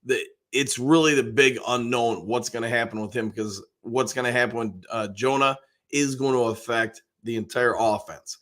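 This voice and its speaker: An older man with a hoarse voice, hosting a casual live stream and rambling along with plenty of fillers. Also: mid-range voice, normal speed, fairly bright tone. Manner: rambling, folksy, lots of fillers. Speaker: host.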